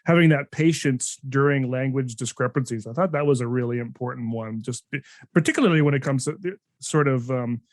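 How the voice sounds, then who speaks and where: A man's voice: medium pitch, brisk, no room sound. Male podcast host, mid-range voice, loose and rambling, dry close mic. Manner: rambling, full of restarts and fillers. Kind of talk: casual conversational interview.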